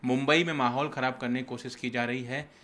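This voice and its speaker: Bright-voiced male TV news interviewer. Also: medium pitch, fairly even intonation, brisk. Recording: noisy location sound, background ambience.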